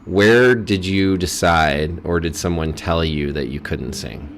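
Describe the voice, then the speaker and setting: A man with a very deep voice hosts a casual interview. He is encouraging and asks lots of questions, often stopping to rephrase one. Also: unhurried, slight background noise. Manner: warm, encouraging, asks questions and restarts them. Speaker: podcast host.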